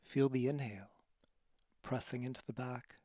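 A man leading a guided relaxation as a yoga teacher, speaking calmly and softly with breathy phrases and long pauses. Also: mid-range voice, no echo, clean studio sound. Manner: calm, soothing instruction.

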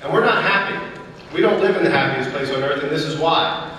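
A man's voice: a little high, a little fast, pushed and bright. A man in his thirties, a union president, delivering a prepared political speech from a podium in a large hotel ballroom. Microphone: podium mic heard from far camera. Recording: long hall reverb, distant, faint noise.

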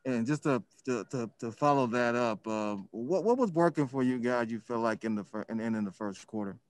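Halting male reporter, dry sound. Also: mid-range voice, expressive, no echo. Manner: halting, restarts his questions. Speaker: sports reporter.

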